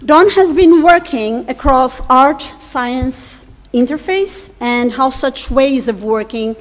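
A woman's voice: high voice, slow pace. A woman chairing a conference session, reading a speaker introduction with a few hesitations. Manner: reads a warm introduction, some hesitations. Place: large auditorium stage. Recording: long hall reverb, faint hum.

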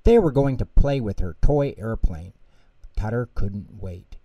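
A man's voice: on the low side, expressive, unhurried, warm tone, dry recording, recorded from a distance. A man reading a scripted children's bedtime story as the narrator.

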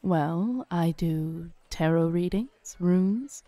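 A young woman with a smooth, low, mellow voice plays a fair fortune teller. She speaks softly, coaxing and gently teasing, close to the mic in a dry room. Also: very slow, no room sound. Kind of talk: scripted roleplay audio.

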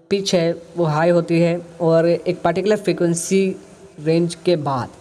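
A man with an Indian accent explaining software as a tutorial host, mixing in English phrases. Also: fairly high pitch, a little hiss or hum.

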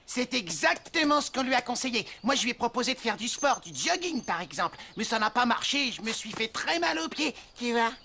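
A woman's voice: fairly high pitch, big pitch swings, a little fast, hardly any pauses, bright, forceful tone, a little hiss or hum, exaggerated voice. A woman voicing a cheeky, scatterbrained little male animal adventurer in a cartoon, breezy and argumentative. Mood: indignant.

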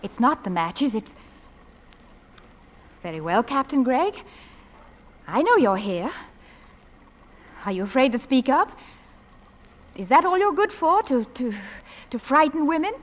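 A woman's voice: high-pitched, big pitch swings, a little slow, long pauses. An actress playing a genteel, spirited young mother with proper, polite manners in a theatrical radio play. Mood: defiant, nervous. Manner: proper, polite, indignant when crossed. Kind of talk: theatrical radio drama acting.

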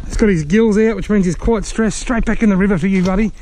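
A high-pitched Australian man talks his way through a fishing trip, chatty and excitable, cheering and chatting to each fish he lands. He is recorded outdoors on a riverbank with an action camera, with faint outdoor noise behind him. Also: fast talker. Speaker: fishing vlogger. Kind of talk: casual running commentary while fishing.

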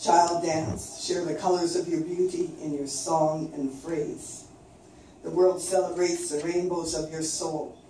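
An older woman from coastal British Columbia reading her own poems aloud in a slow, measured recitation at an open mic in a small venue. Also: deep voice, warm tone. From coastal British Columbia, Canada. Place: small dim performance venue, indoors. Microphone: far camera. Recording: very echoey room, distant, faint noise.

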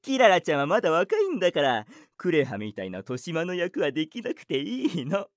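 A man's voice: fairly high pitch, huge pitch swings, brisk, no room sound, studio quality. An actor playing a sleazy, two-faced ladies' man with a flippant, coaxing manner in an audio drama.

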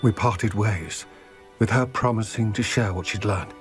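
A man's voice: on the low side, over music, close to an everyday voice. A man playing a grave old goblin. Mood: somber. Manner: measured, somber storytelling. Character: weary old male goblin, solemn and grave. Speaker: game character.

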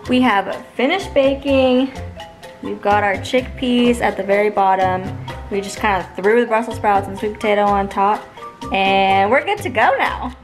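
A woman's voice: medium pitch, normal speed. A young woman in her twenties vlogging casually in a car, a grocery store and her kitchen, chatty and a little wry and tired. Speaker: lifestyle vlogger. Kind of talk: casual vlog narration. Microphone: handheld camera close to face. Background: background music.

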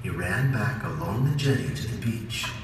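A man reading a children's picture book aloud in a gentle storybook manner. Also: lowish voice, lively intonation, normal speed, distant mic, a little hiss or hum. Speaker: read-aloud narrator. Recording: recording played in an echoey gym, heard from afar.

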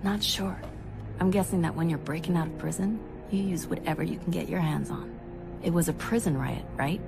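A low-voiced female military doctor, guarded and terse, gives dry answers in a sci-fi war drama. Music plays clearly behind her. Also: a little fast. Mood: grim, measured. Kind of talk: military sci-fi motion comic acting.

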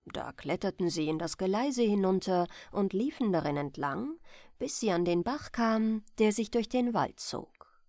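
A woman reading a bedtime fairy tale as an expressive, gentle audiobook narrator. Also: mid-range voice, normal speed, no room sound, studio quality. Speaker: storyteller, audiobook reader.

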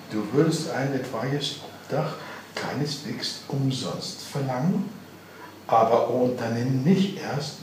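Give the part of a wheedling waif girl to a man reading aloud: coquettish, dreamy and impetuous, with pitch that swings widely. It is a theatrical literary reading in an echoey room. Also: recorded from a distance, slight background noise. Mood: eager, wheedling.

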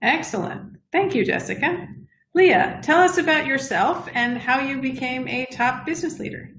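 A woman moderating a video-call panel in a brisk, welcoming way, calling on each speaker. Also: high voice, animated, a bit bright. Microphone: webcam on video call. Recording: echoey room over video call.

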